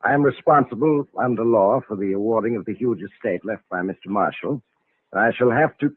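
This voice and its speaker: An actor playing a solemn, suspicious estate lawyer with a formal, stiffly courteous manner in an old radio drama. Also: male voice, lowish voice, brisk, husky. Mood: solemn, probing. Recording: vintage radio recording, narrow phone-like band.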